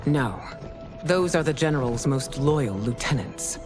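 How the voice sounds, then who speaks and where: An actress playing a proud middle-aged empress in a video game, with a regal, formal, commanding manner. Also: female voice, rumbling low, animated, measured pace. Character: stern, imperious middle-aged warrior empress, wounded pride. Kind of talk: dramatic video game acting. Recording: game music behind voice.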